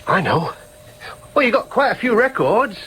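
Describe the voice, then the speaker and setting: Keen young lad, needy son type, high voice, really swoopy, gushes and stammers, radio play. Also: male voice, normal speed, slightly rough, heavily acted, poor audio. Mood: eager, nervous. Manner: excitable, gushing, stammers over first words. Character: eager, needy young son seeking his father's approval. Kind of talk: naturalistic radio drama acting, dialogue and inner thoughts.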